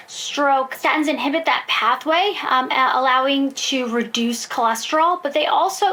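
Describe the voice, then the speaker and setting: An American woman cardiologist answers interview questions, explaining in long, fluent stretches dotted with ums. Her voice is high and bright, with a crisp, forward tone. She sounds like a practiced expert teaching a lay audience. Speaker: cardiologist guest.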